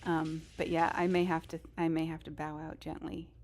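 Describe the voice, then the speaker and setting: A woman's voice: low-pitched, level tone, moderate pace, darkish tone, a little hiss or hum. A woman live streamer chatting casually over a game, in a rambling, informal and self-deprecating way. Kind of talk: casual gaming stream chat.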